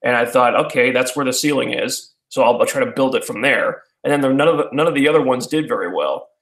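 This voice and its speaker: A man, chatting casually with his viewers in a halting way, full of fillers and restarts. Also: medium pitch, fairly bright tone, roomy sound, poor audio. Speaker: YouTuber answering viewer questions. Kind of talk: casual rambling chat.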